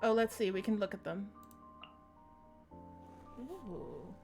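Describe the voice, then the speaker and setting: A woman's voice: medium pitch, lively intonation, slow, vocal fry, a little mellow. A woman livestreamer giving casual gameplay commentary and reading game text aloud. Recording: game music behind voice. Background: video game music.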